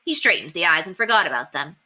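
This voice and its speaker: A woman reads a children's novel aloud with an animated delivery. Her tone is strikingly bright and her pitch moves freely, giving the narration an emphatic storytelling shape. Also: fast. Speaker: story reader.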